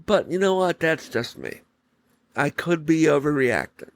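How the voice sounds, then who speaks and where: A man hosting a solo podcast, giving casual opinion commentary in short, halting phrases that he often repeats. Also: a little high, animated, creaky, no room sound. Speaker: podcast host.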